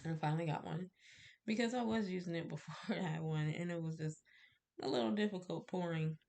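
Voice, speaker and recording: A woman's voice: on the low side, fairly quick, vocal fry, darkish tone. A woman with a stuffy cold, sounding nasal, chatting casually to camera about her candle-making and rambling with fillers and little laughs. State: stuffy, congested.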